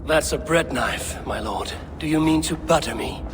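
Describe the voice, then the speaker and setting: A man's voice: on the high side, normal speed, background noise, lightly acted. A man playing a dry, mocking Viking warrior in a game, with a wry, taunting delivery. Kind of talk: historical fantasy game acting.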